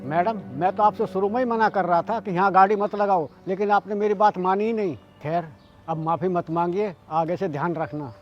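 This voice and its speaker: An actor playing a polite security guard who pleads with a rude driver in a short drama skit. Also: male voice, high voice, steady tone, a little fast, lo-fi sound, faint music behind, natural voice. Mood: forgiving. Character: patient, polite middle-aged male security guard.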